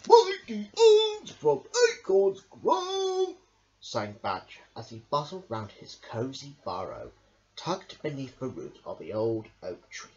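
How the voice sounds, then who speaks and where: A young male reading a children's storybook aloud in a deliberate, dramatic way. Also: fairly low pitch, gravelly, a bit bright, dull audio. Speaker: storybook reader. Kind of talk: scripted children's story narration.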